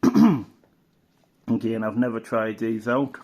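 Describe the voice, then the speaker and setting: Rambling middle-aged British man, lowish voice. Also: animated, darkish tone, soft background music. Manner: rambling and unhurried, with many fillers and restarts. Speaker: radio hobbyist leafing through a catalogue. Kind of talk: casual reminiscing commentary.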